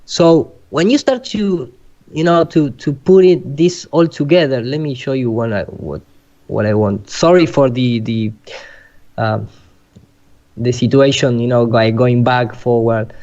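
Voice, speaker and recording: Slow, halting man. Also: mid-range voice, darkish tone. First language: Spanish. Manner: halting, searching for words in non-native English. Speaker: presenter. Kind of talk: informal explanatory presentation. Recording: dull, lo-fi online call audio.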